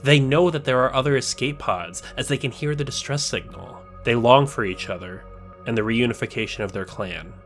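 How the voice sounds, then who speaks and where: Male narrator for a scripted essay, measured and explanatory, mid-pitched voice, faint music bed. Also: fairly bright tone.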